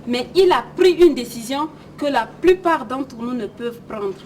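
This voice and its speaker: High-voiced woman commenting, slightly off-mic. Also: lively intonation, moderate pace, a little mellow, recorded from a distance, slight background noise. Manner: didactic, with rhetorical questions. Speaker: presenter of an educational film. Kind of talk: scripted commentary addressed to viewers.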